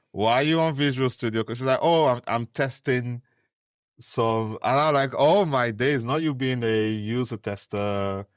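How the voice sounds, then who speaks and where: A man's voice: medium pitch, unhurried, dry recording, studio quality. A man from Liverpool with a Liverpool accent, co-hosting a podcast in casual chat, playful and jokey. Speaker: podcast co-host.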